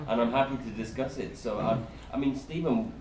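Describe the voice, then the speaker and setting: A man's voice: mid-range voice, brisk. A man speaking on a convention panel, chatty and self-deprecating, often laughing. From United Kingdom. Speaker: panelist working on the show. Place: large convention hall. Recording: echoey hall, far mic, crowd noise.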